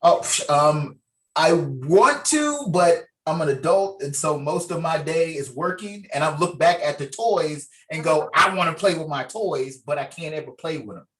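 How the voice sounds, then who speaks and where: A man's voice: fairly high pitch, lively intonation, moderate pace, fairly bright tone. A man chatting casually and explaining things in an informal, colloquial way on a video call, as the organiser of a table read. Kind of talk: casual meeting chat. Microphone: video call microphone.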